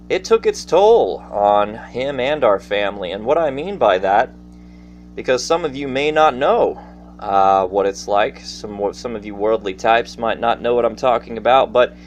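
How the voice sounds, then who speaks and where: Animated male storyteller with a theatrical, folksy delivery. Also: mid-range voice. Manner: theatrical, folksy, addressing listeners directly. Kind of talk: casual personal storytelling monologue to an audience. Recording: faint background noise.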